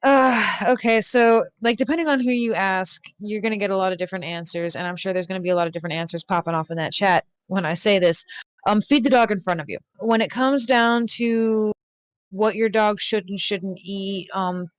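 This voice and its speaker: A woman's voice: medium pitch, a little fast, smooth, no echo. A woman chatting casually as a guest, explaining things in an informal way. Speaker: talk show guest, dog expert. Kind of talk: casual chat.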